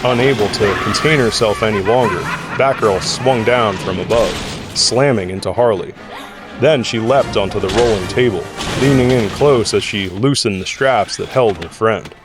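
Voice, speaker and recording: A male narrator with a fairly low voice delivers scripted dramatic narration. Music plays softly behind him, in the manner of an audio drama. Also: normal speed. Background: music score.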